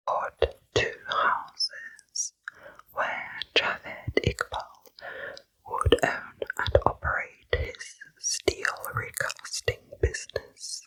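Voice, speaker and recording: A woman narrating a true crime story for ASMR in a soft, slow, deliberate whisper, close to the microphone. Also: low-quality recording.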